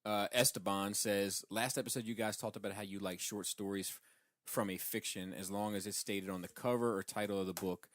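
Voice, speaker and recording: Male podcast co-host, deep voice, informal and sweary, close dry sound. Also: fairly even intonation, a little fast, a bit bright, no room sound. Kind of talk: casual geek-culture podcast chat.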